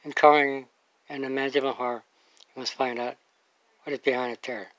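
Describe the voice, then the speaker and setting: A man casually introducing game footage and reading aloud in a halting, plain way. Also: medium pitch, steady tone, a bit bright, no echo, rough recording. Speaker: video uploader introducing game cutscenes.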